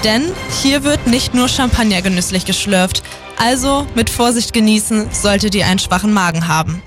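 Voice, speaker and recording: A woman's voice: medium pitch, moderate pace. A woman presenting a scripted radio film review as narrator. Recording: music bed behind.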